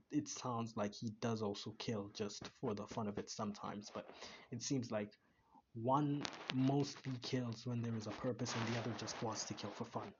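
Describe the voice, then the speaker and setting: A man's voice: mid-range voice, dull audio, noisy background. A man hosting a reaction video, giving casual commentary that thinks aloud, with repeats and restarts.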